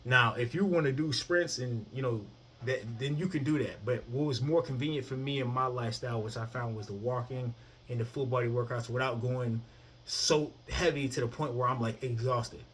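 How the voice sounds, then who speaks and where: A man's voice: mid-range voice, moderate pace, a bit bright, husky. A man in his thirties talking casually to the camera about his own fitness routine, often asking "you know what I mean?". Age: 30s. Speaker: fitness vlogger.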